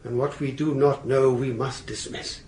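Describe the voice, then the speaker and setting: An actor playing an eloquent, shrewd defence barrister in a period courtroom radio drama, rhetorical and courteous but pointed. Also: male voice, medium pitch, flat delivery, moderate pace, darkish tone, natural voice. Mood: probing, rhetorical.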